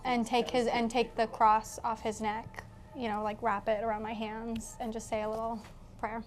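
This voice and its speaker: A woman's voice: a little high, little pitch movement, normal speed. A woman player in a tabletop role-playing game, casually telling the table what her character will do. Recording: clear background noise.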